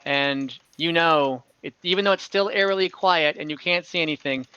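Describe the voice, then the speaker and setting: A man improvising tabletop game narration as game master, in a halting, hesitant delivery. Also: a little high, bright, forceful tone, clear, resonant tone, highs cut off. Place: dry room, online game session.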